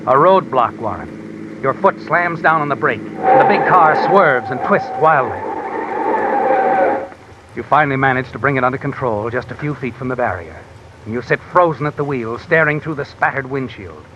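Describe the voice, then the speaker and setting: An actor playing a mysterious narrator who needles the listener in the second person, in a 1950s radio drama. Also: male voice, medium pitch, expressive, moderate pace, heavy background noise, close to an everyday voice. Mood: tense. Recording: lo-fi old radio broadcast. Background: dramatic music score.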